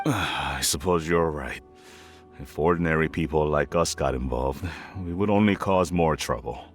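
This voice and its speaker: A very deep, weary middle-aged father. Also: normal speed. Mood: resigned. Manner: hesitant, weary, sighing. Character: middle-aged tea merchant father, worried, regretful, humble. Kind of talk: video game voice acting. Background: faint music behind the voice.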